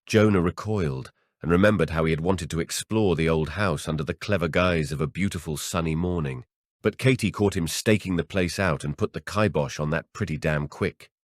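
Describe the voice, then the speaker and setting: A man's voice: sits low, a little fast, dry recording, studio quality. A man narrating a horror audiobook as a scripted story reading.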